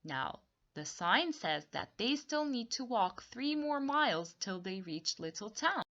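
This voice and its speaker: A woman reads a picture book aloud for young children at an unhurried, deliberate pace. Her pitch moves up and down a great deal as she tells and simply explains the tale. The recording sounds slightly muffled and lo-fi. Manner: expressive, deliberate, repeats and explains for young listeners. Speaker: storybook reader for children. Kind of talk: read-along storytelling with simple explanations.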